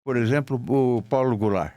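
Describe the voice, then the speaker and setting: A man's voice: mid-range voice, normal speed, warm tone, no room sound. A man with a Brazilian accent hosting a casual interview, conversational, with short affirming interjections. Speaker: podcast host and interviewer.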